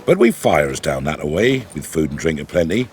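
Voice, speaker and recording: A man's voice: a bass voice, very animated, moderate pace, darkish tone, a little hiss or hum. A man playing a devout, firm old peasant guarding a gate in a video game, with folksy rustic speech. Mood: inviting, devout. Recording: faint music behind the voice.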